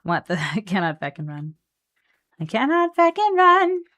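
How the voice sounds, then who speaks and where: A woman chatting casually on a live game stream, playful and animated as she thinks out loud. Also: medium pitch, moderate pace. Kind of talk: casual live-stream chat while building a card deck.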